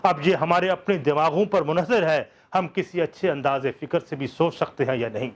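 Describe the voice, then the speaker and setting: A man host reciting a historic speech in an impassioned, oratorical way. He swells loud and slow on key phrases, then drops low and quiet. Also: a little hiss or hum.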